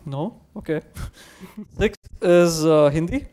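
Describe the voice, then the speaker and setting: A man's voice: on the high side, takes it slowly, warm tone. A young man from India with an Indian English accent, a native Kannada speaker, chatting hesitantly as a guest on a TV studio set. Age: young adult. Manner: hesitant, thinks aloud with many uh's. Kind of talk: casual studio chat. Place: TV studio set, seated on sofa.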